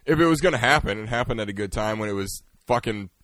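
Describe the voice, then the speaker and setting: A man's voice: on the low side, animated, fast talker, fairly bright tone, no room sound. A man co-hosting a podcast while stuffed up with a cold, dry and skeptical in tone. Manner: dry, skeptical, laid-back. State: congested from a cold. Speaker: podcast co-host. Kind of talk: casual podcast banter.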